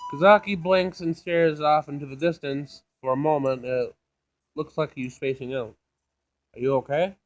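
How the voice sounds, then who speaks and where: Male gaming host, slightly high voice, slangy, reads story text aloud with casual commentary. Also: fairly even intonation, normal speed, faint music behind. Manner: informal, slangy. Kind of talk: casual visual novel let's play, reading aloud.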